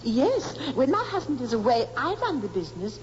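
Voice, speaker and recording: Self-assured female witness, high animated voice, proper, radio drama, muffled recording. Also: moderate pace, slight background noise. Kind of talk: old-time radio drama acting.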